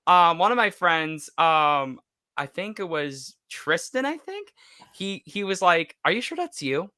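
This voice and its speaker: A man's voice: fairly high pitch, bright, forceful tone, clean tone, no room sound, clean studio sound. An American man chatting casually as a podcast guest and telling anecdotes. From United States.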